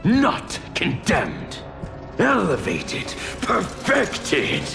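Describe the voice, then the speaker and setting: An actor playing a gleeful, scheming villain who worships chaos in a video game, theatrical and gloating. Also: male voice, low-pitched, sing-song, slow, over music, exaggerated voice. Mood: grandiose, fervent. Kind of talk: video game cutscene acting.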